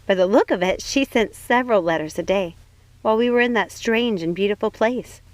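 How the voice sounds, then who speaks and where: A woman voicing a gentle, sweet young woman in an audiobook, speaking in an earnest, reassuring manner. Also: medium pitch, a little fast, dry recording, natural voice. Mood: cheerful. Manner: soft-hearted, earnest, reassuring. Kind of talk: audiobook character voice.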